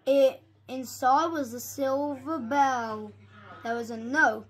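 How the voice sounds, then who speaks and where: A young reader reading a storybook aloud haltingly, stumbling over and repeating words. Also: sits high, fairly even intonation, slightly rough.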